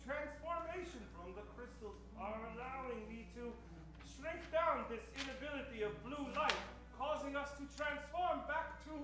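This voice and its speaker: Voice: sits high, animated, recorded from a distance. Performer as an echoey, earnest nonsense professor. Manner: halting, grandiose explaining. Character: earnest pseudo-scientific professor explaining nonsense. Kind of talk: improvised old-time radio comedy acting.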